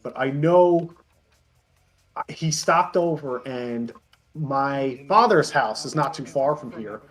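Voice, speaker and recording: A man telling casual anecdotes as an interview guest on an online voice call, in a halting, stop-and-start way. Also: mid-range voice, expressive, faint music behind. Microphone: voice call on Discord.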